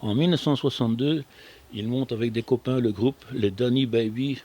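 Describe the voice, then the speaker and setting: A man's voice: mid-range voice, moderate pace, warm tone. An older, retired man presenting a radio programme, reading scripted biographical narration with some casual banter. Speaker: radio show presenter who prepares the programme.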